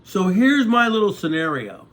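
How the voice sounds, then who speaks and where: Lively, rambling man in his sixties. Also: high-pitched, normal speed, rough recording. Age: 60s. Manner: conversational, repeats "okay?" to check in with viewers. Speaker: vlogger. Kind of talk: rambling casual monologue.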